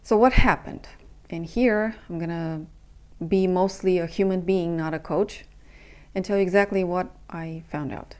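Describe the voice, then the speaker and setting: A young adult woman from Romania, a coach, speaking earnestly in a halting personal monologue with many pauses and fillers. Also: fairly low pitch, a little mellow, smooth. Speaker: intimacy and breathwork coach.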